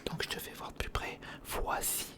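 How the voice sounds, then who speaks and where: An ASMR creator narrating an unboxing in a whisper, speaking informally and intimately to the viewer. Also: normal speed. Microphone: sensitive recorder microphone, close.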